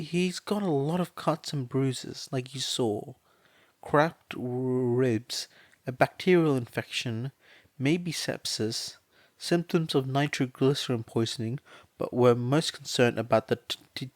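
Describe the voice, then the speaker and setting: A man voicing a gentle, weary middle-aged mother who is a nurse, speaking softly with stammers and clinical terms. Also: medium pitch, takes it slowly, dry recording, pitched above the performer's own voice, lightly acted. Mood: grim.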